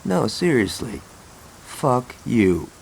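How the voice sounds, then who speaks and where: An actor playing a cocky, foul-mouthed, trigger-happy gangster in a video game, with blunt sarcasm. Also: male voice, mid-range voice, sing-song, slow pace, creaky, a little hiss or hum, full character voice, poor audio. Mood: sarcastic, irritated. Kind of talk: video game cutscene acting.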